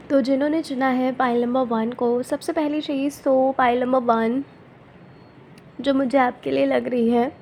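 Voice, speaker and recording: A woman tarot reader with a sore throat, speaking softly and gently, often checking in with her viewers. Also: steady tone, slow, pauses often, a little hiss or hum. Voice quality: soft and low-volume.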